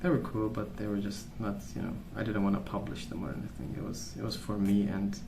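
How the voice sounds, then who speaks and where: Guy doing soft asmr rambling, lowish flat voice, kinda hesitant, roomy distant mic w colouring noises. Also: creaky. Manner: hesitant, meandering, unhurried recollection. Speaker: ASMR video host. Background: pencil colouring sounds, background noise.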